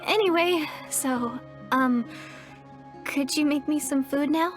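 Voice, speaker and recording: Earnest high-voiced young woman. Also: takes it slowly, soft background music. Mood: nervous, earnest. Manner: hesitant, earnest, expressive. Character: anxious, apologetic young woman, earnest injured refugee. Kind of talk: video game voice acting.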